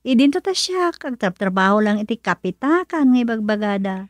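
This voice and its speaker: An actress playing a tired, modest young working woman in an Ilocano radio drama, with musing, drawn-out interjections. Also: on the high side, big pitch swings, no room sound, clean studio sound. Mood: coy, modest.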